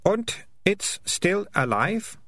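Storybook girl, done by a male reader: raised pitch, sure of herself and quick to judge. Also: big pitch swings, bright, forceful tone, slight background noise, exaggerated voice. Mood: firm, knowing. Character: knowing, decisive girl telling off villains.

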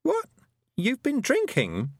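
A man voicing a sharp, sensible young girl who asks pointed, indignant questions. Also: very high-pitched, wildly swooping pitch, takes it slowly, no room sound, studio quality, voice pushed up, heavily acted.

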